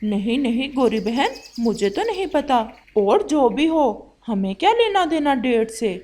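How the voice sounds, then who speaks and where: A high-pitched bird friend from a children's cartoon, acted by a woman. The delivery is animated and swooping, yet plain-spoken and matter-of-fact. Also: measured pace. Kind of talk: Hindi children's cartoon voice acting.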